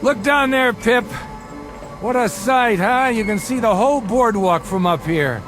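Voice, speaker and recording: A high-pitched, pleading, emotional father. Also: a little slow, a bit bright, faint music behind. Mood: playful, covering up. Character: desperate, remorseful middle-aged father, weak-willed small-time hustler. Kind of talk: dramatic radio-play acting.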